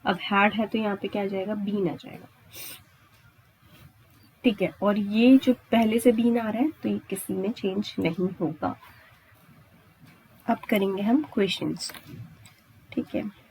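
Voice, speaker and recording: A woman's voice: mid-range voice, slow, pauses often, darkish tone, a little hiss or hum, rough recording. An Indian woman teaching grammar step by step, repeating points and answering her own questions with frequent check-in tags. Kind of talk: exam-prep lesson at a board, explaining step by step.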